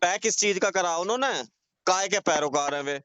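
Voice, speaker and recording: A man's voice: sits high, moderate pace, sharp tone, smooth, no room sound. A man arguing heatedly on a recorded phone call, insistent, accusing and repeating his points in colloquial speech. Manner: confrontational, insistent, accusing, repetitive.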